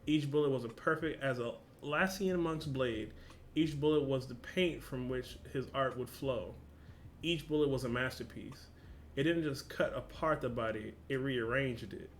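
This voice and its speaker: A man's voice: mid-range voice, moderate pace. Casual slangy man, noisy recording. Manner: informal, slangy, swearing, exclamatory asides. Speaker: reaction video host. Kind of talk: reading a story aloud with casual reactions. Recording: clear background noise.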